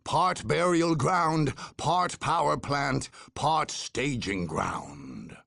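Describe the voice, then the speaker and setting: A male narrator tells a video game saga with grave, theatrical emphasis. His voice is husky and a little high, moving widely in pitch as he weighs each phrase in a measured delivery. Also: a bit bright, no room sound, studio quality.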